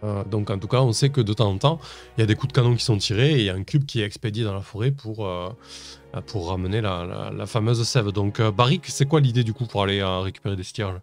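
A man's voice: fairly low pitch, fast talker, faint music behind. A man narrating as game master of a tabletop game, hesitant, with many fillers and restarts.

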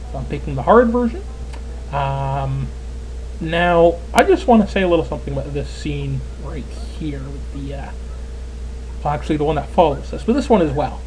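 A man's voice: a little high, dark tone, a little hiss or hum, low-quality recording. A Canadian man in his twenties giving casual, rambling gameplay commentary as a let's player. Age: 20s. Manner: chatty, rambling, lots of fillers.

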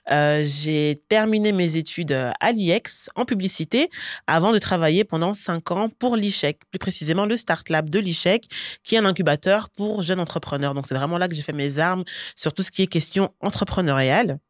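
A woman's voice: on the low side, fairly quick, no echo. Woman, 30s, podcast guest, talkative, dry studio sound. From Belgium. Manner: lively and talkative, frequent "euh" fillers. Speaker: guest entrepreneur. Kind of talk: podcast interview. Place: bright studio set, seated on a sofa. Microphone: studio mic on a boom arm.

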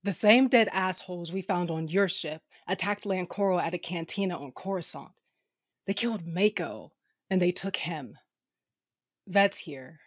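Woman playing a husky, blunt space fighter. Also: fairly low pitch, normal speed, no echo, studio quality, natural voice. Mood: blunt, tense. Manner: blunt, tough. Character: blunt, hard-swearing female space fighter, loyal comrade.

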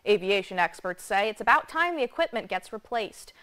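A woman's voice: mid-range voice, expressive, brisk, background noise. A young woman working as a news anchor, reading scripted news in a TV studio. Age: young adult.

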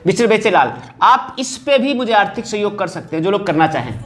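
A man's voice: sits high, big pitch swings, fairly quick, a bit bright. A man speaking insistently in an informal update to exam candidates, like a coaching teacher appealing to students. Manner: insistent, repeating rhetorical questions and appeals.

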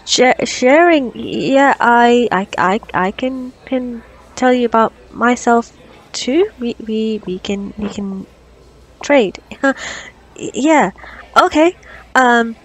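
A woman's voice: a little high, animated, slow, heavily acted. A woman playing a flustered, awkward young doctor on a date in an ASMR roleplay, stammering nervously and self-consciously. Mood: shy, flustered.

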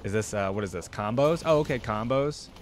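A man's voice: on the low side, expressive, brisk. A young man giving casual, informal gameplay reaction commentary, musing aloud into a close microphone. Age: young adult. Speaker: reaction video host. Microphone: close desktop microphone with headset. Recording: clear background noise.

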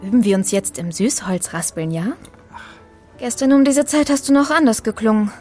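An actress playing a feisty, sharp-tongued young woman in an audio drama, sassy and commanding. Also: on the high side, lively intonation, normal speed, soft background music. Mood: mocking.

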